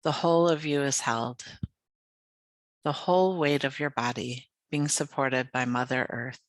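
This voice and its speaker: Yoga nidra guide, mid-range voice, soothing and unhurried, really dry close recording. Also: mid-range voice, fairly even intonation, no echo. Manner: soothing, unhurried, gently inviting with pauses. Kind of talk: guided meditation.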